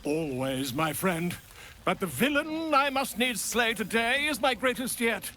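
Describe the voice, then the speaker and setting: An actor playing a flamboyant, self-important bard in a video game, speaking in a florid, theatrical and chummy manner. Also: male voice, high-pitched, big pitch swings, unhurried, pushed and bright, a little hiss or hum, exaggerated voice. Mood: jovial, self-satisfied. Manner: theatrical, florid, grandiloquent storytelling. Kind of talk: video game character acting.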